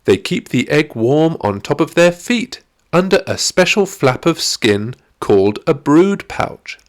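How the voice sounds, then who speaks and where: A man voicing a cheerful, eager ten-year-old girl who trains animals in a children's storybook, bright and encouraging in manner. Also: on the high side, very animated, a little slow, voice pushed up. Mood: eager explaining.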